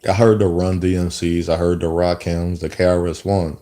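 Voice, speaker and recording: A man in his thirties speaks in a low, warm register, thinking out loud to his viewers. His delivery rambles and doubles back with frequent restarts, an unscripted, reflective monologue. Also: flat delivery, moderate pace. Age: 30s. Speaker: vlogger. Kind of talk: casual spoken monologue.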